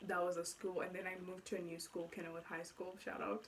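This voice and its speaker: A woman's voice: lowish voice, little pitch movement, fast, slight background noise. A woman in her twenties from Nigeria with an accent that blends Nigerian and South African, casually telling her own story as a vlogger, chatty and often correcting herself mid-thought. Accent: mixed Nigerian and South African. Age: 20s. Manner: chatty, self-correcting, thinks aloud.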